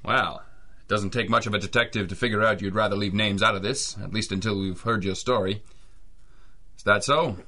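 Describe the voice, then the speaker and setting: An actor playing a world-weary, wisecracking private detective in an old-time radio noir, with a deadpan, slangy delivery. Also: male voice, fairly low pitch, fairly quick. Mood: courteous.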